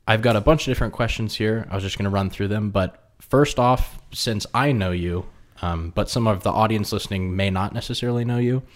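A man's voice: fairly low pitch, brisk, hardly any pauses. A man hosting a casual podcast at his kitchen table, chatting easily with a guest with lots of fillers. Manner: relaxed, friendly, lots of fillers. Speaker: podcast host. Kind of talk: casual interview chat. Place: home kitchen.

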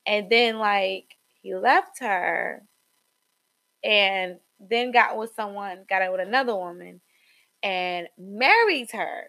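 A young woman co-hosting a casual gossip podcast, animated, with sudden high jumps in pitch and trailing ums. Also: slow, gravelly, rough recording. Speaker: podcast co-host.

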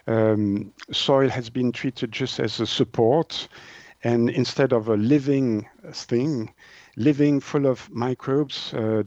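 Man, native French speaker from Switzerland, halting interview explanations, mid-pitched voice, dry muffled sound. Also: darkish tone, no echo. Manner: halting, searching for words in non-native English. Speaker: naturopath and author, interview guest.

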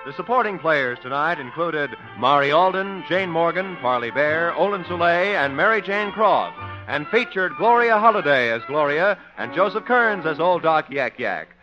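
A man's voice: a little high, animated, moderate pace, few pauses. A man giving a polished, formal scripted announcement as a 1950s network radio announcer. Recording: muffled 1950 radio broadcast, music behind.

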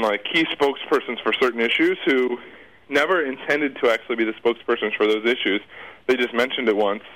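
A man's voice: mid-range voice, fairly bright tone, rough recording. A man giving casual interview answers as a guest, informal and rambling in the way he talks.

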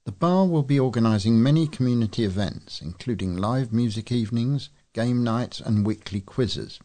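A man's voice: mid-range voice, moderate pace, warm tone. A man reading scripted local news as a volunteer newsreader.

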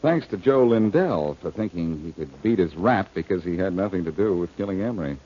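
An American actor playing a breezy, wisecracking detective hero in a 1940s radio drama, with a glib, wry delivery. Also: male voice, sits low, expressive, a little fast, mellow, slightly rough. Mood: relaxed, amused. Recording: dull, noisy vintage radio recording.

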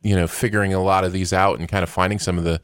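A man's voice: sits low, little pitch movement, very fast, smooth. A man hosting a podcast in a casual, playful, bantering way. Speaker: podcast host. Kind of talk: casual banter and show intro.

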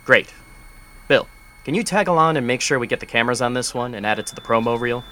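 An upbeat boss chairing the morning meeting, voiced by a man in an audio drama. His voice is bright and forward, and he sounds in charge. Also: medium pitch, lively intonation, quick pace. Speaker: foundation director leading a meeting.

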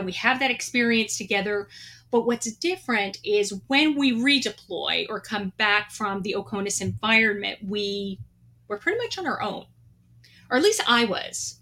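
A woman's voice: medium pitch, bright, forceful tone. A middle-aged woman telling her own story in an earnest, reflective way, with a few light laughs. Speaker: guest, veteran. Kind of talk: candid personal storytelling.

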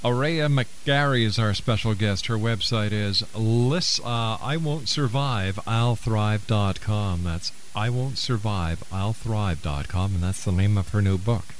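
A man's voice: on the low side, unhurried, hardly any pauses, slight background noise. A man hosting a radio talk show, speaking in a conversational interviewing style. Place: radio studio.

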